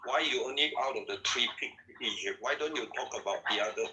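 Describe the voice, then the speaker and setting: A man in an online debate speaks in broken, halting phrases and keeps asking for patience. His voice is very bright and sits at an average pitch. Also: low-quality recording.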